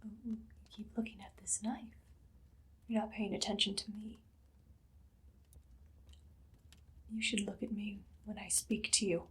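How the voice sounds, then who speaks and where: A woman playing an imperious, idly cruel evil queen in an ASMR roleplay, soft-spoken and often whispering in a languid, condescending way. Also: mid-range voice, level tone, normal speed, close to an everyday voice. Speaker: ASMR performer.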